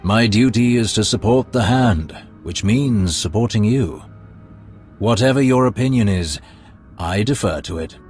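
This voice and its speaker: A measured Imperial Sith lord. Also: male voice, lowish voice, animated, a little mellow, faint music behind. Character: loyal, analytical male Imperial Sith lord.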